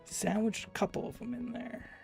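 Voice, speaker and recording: A man's voice: extremely high pitch, steady tone, moderate pace, creaky, darkish tone, soft background music. A Canadian man from Alberta commentating a live game stream and chatting with viewers in a loose, rambling, self-deprecating way. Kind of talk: live gameplay commentary while reading chat.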